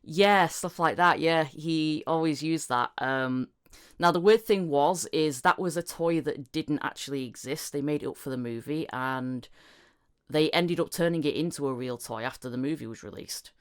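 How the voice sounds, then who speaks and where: A woman's voice: sits low, moderate pace, smooth. A woman hosting a podcast interview in a casual way, often laughing and restarting her questions as she goes. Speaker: podcast host.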